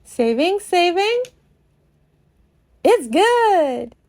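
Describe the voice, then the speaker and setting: A woman's voice: very high voice, sing-song, extremely slow, darkish tone, faint music behind. A woman hosting and narrating a dramatic read-through of a video game. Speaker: podcast host and narrator.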